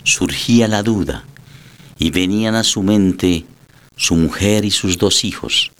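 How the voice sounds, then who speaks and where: A man giving scripted dramatic narration of a story, in a measured, literary storytelling manner. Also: low-pitched, a bit bright, slight background noise.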